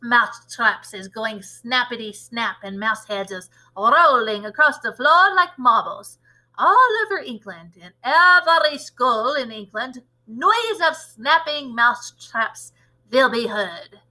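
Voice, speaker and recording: Nasty old witch boss from a kids book, high sharp voice, gloating and chanting, broken grammar. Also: expressive, slow pace, full character voice, rough recording. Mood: gloating, shouting. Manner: ungrammatical, chanting, theatrical shouting. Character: disgusting old witch leader, gleefully cruel, gloating. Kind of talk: children's storybook villain acting.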